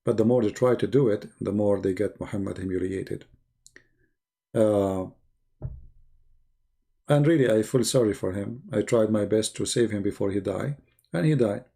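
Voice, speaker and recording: Male live stream host, mellow dark tone, gravelly rasp, sarcastic, repetitive, off-the-cuff. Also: on the low side, moderate pace, long pauses. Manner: teasing, sarcastic, repeats phrases. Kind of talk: rambling religious debate live stream chat.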